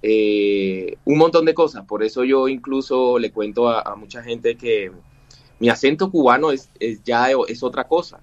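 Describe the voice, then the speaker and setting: A man's voice: medium pitch, unhurried, highs cut off. A man in his forties from Cuba, a musician guest talking in an interview, hesitant, with many fillers and restarts. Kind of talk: interview conversation.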